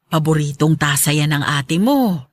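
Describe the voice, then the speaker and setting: A woman's voice: low-pitched, animated, moderate pace, no echo, clean studio sound. A woman playing a kind but firm middle-aged mother in a radio drama. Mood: gentle, warm. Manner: gentle, motherly.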